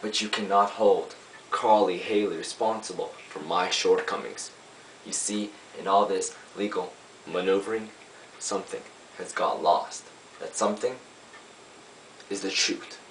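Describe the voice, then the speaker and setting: A man playing a young, earnest defense lawyer, pleading to a jury in an emphatic, oratorical monologue with deliberate pauses. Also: low voice, fairly even intonation. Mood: humble, apologetic. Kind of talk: dramatic courtroom monologue. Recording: roomy, distant mic, faint hiss.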